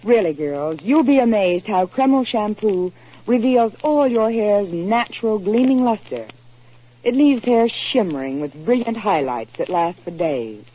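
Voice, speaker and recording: An actress playing a glamorous, gushing young model in a 1940s radio commercial. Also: female voice, mid-range voice, animated, a little slow. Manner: polished, gushing. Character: glamorous, enthusiastic young female model endorsing shampoo. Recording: tinny 1940s radio recording.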